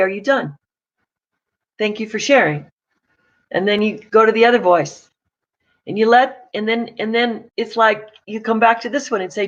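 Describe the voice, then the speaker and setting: A woman's voice: medium pitch, fairly bright tone, dull audio. A woman coach giving a casual, plain-spoken morning talk to camera. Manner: chatty, pragmatic, plain-spoken.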